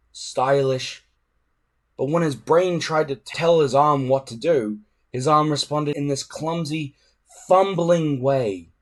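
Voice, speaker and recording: A man narrating a children's adventure book aloud in an expressive, dramatic way. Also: medium pitch. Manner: dramatic, animated. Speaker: storybook reader.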